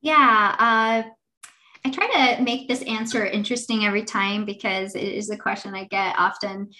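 A woman in her thirties, a venture fund partner, answering interview questions in a talkative, animated way. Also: medium pitch, moderate pace, poor audio.

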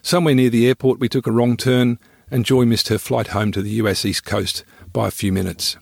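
Male travel-memoir narrator, husky and gravelly, measured scripted storytelling. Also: steady tone, no room sound, studio quality. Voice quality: low, husky, creaky. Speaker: storyteller and podcast host reading own travel memoir.

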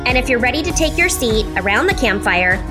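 A woman's voice: a little high, very animated, brisk, music in the background. A woman hosting a podcast, upbeat and enthusiastic as she introduces and questions a guest. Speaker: podcast host.

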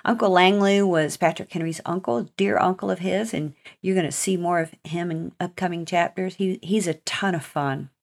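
American female author-host, lowish voice, earnest, emphatic, talking encouragingly to young listeners. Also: normal speed, creaky, hardly any pauses. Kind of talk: life-lesson talk for children.

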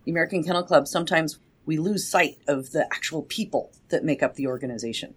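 A woman's voice: deep voice, fast, breathy edge. A woman hosting a podcast, with an enthusiastic, emphatic way of talking and scripted promo reads. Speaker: podcast host.

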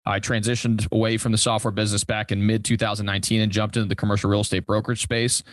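A man's voice: fairly low pitch, little pitch movement, fast, no room sound, studio quality. A young adult man from Europe chatting casually as a guest in a podcast interview. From Europe, born in Italy and raised there and in Germany until fourteen. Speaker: podcast guest, commercial real estate broker.

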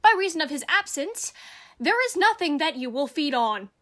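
A woman's voice: high voice, sing-song, moderate pace, fairly bright tone. A woman playing a humble, kindly shepherd in a Shakespeare radio play, speaking earnestly and deferentially. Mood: humble, welcoming. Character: humble kindly old country shepherd, a man.

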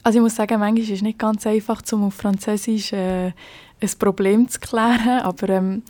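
A woman's voice: mid-range voice, steady tone, fairly quick, darkish tone, no echo. A young woman from Switzerland with a Swiss German accent, a police officer telling of her work in a podcast interview, hesitant and full of fillers.

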